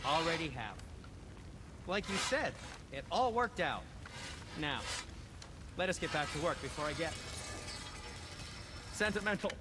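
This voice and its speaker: An actor playing a fussy, soft-hearted male dwarf blacksmith in a video game, with a fidgety manner. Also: on the high side, animated, unhurried, long pauses. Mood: forgiving, awkward. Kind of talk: video game character acting.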